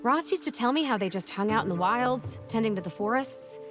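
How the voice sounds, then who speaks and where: A woman voices a young game heroine, fairly high and very expressive. She is plucky, earnest and a little wry, with music behind her. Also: a little fast, close to an everyday voice. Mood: wry, casual. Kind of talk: animated video game cutscene acting.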